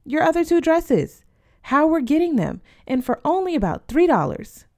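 Woman voicing bossy, eager teenage girl, high and animated. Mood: excited, scheming. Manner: brisk, persuasive, teasing.

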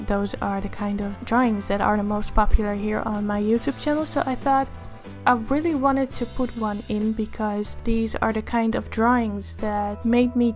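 A young woman with a Dutch accent, hoarse from a cold, chatting upbeat over a drawing tutorial video. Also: a little high, darkish tone. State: hoarse, losing her voice from a cold. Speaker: art tutorial creator. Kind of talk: casual voice-over for a drawing time lapse.

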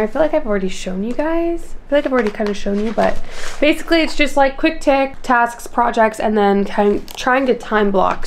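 A woman in her twenties chatting casually in a vlog, bubbly and gushing, filming herself with a handheld camera at home and in her car. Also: fairly high pitch. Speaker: lifestyle and fitness vlogger. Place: home kitchen and bedroom, later parked car. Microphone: handheld camera at arm's length.

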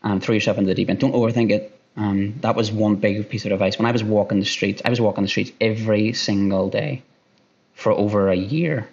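A man in his thirties with an Irish accent, a sales director answering interview questions on a video call, talking fast and restarting often. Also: on the low side, fairly even intonation, gravelly, darkish tone, slightly rough. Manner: fast-talking, repeats himself, many restarts. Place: room at home by a window. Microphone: headphones on a video call. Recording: dull lo-fi call audio.

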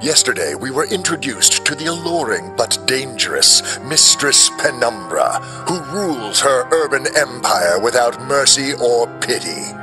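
A man's voice: medium pitch, very animated, a little slow, hardly any pauses, low-quality recording. A man playing an old-time radio announcer introducing a sponsored mystery show. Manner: smooth announcer delivery. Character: 1940s male radio announcer. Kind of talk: 1940s radio acting. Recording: radio show with clear music.

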